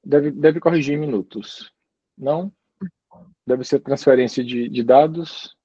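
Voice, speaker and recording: A man giving an informal university lecture over a video call, chatty and relaxed, often ending phrases with little checking tags. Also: mid-range voice, unhurried, slightly rough, dry recording, lo-fi sound. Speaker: guest lecturer.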